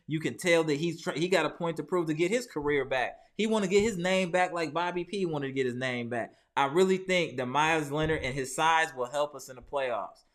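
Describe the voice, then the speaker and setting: Animated man venting about his team. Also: on the high side. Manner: animated, venting, talks to his chat by name. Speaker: sports channel host. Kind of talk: live post-game fan chat.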